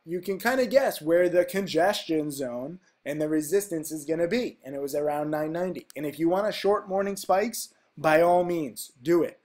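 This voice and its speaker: A man's voice: a little high, a little mellow. A man with a stuffy, congested nose talking casually and emphatically through stock charts, tossing in "okay?" as he goes. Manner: rambling, emphatic, fillers like "okay?". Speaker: stock trading educator. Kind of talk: casual screen-share chart walkthrough.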